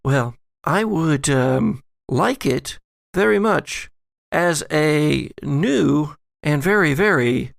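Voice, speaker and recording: A man's voice: a little high, expressive, slow pace, gravelly, no room sound, studio quality, voice pushed up, full character voice. A man voicing a wealthy, upset woman who speaks formally and pointedly in a tabletop audio drama. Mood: insistent, displeased. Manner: polite, pointed, formal wording.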